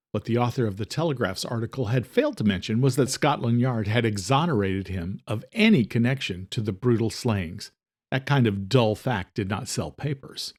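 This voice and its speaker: A male audiobook narrator with a medium-pitched voice. He reads a scripted thriller in a measured, dramatic storytelling style. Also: darkish tone.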